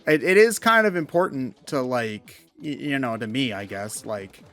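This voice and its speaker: A man's voice: medium pitch, animated, normal speed, fairly bright tone, soft background music. A man commentating on games in a casual, conversational style. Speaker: game commentator. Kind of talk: casual game commentary chat.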